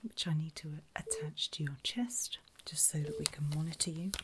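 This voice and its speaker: A woman whispering in a breathy, gentle and reassuring way, unhurried, as a nurse in an ASMR medical roleplay. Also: low-pitched, very noisy. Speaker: ASMR performer playing a surgical nurse.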